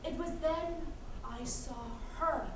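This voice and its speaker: A high-pitched American woman delivers a wry, wistful monologue about her own life. She takes her time, with deliberate theatrical pauses. The recording is distant and roomy, with clear background noise. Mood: nostalgic. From United States. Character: Korean American adoptee woman recounting childhood, wry and wistful. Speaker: stage actress. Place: live stage performance in a venue.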